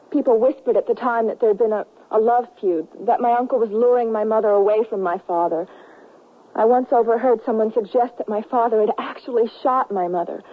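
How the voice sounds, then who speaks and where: An actress playing an earnest, pleading young daughter in a western radio drama, with a soft-hearted, imploring manner. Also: female voice, on the high side, slightly rough. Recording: muffled, narrow-band 1970s radio broadcast.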